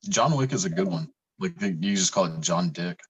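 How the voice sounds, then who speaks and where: A man's voice: deep voice, expressive, fairly quick, husky, no echo. A man interviewing a guest casually, easygoing and agreeable, with lots of short affirmations. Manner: easygoing, lots of yeahs and backchannel.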